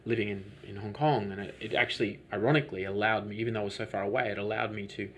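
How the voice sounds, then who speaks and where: A man's voice: on the low side, fairly even intonation, very fast, a little hiss or hum. A man, a business owner, talking through his story in a rambling, hesitant way, with many restarts and fillers. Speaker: lavender farm business owner. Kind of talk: conversational interview answers.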